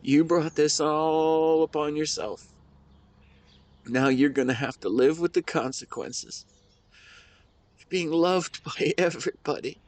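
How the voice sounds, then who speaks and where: Man playing a grieving, tender husband, slightly high voice. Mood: gentle teasing. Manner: tender, emotional, halting delivery. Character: grieving, devoted husband talking to his late wife. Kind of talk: intimate audio roleplay monologue.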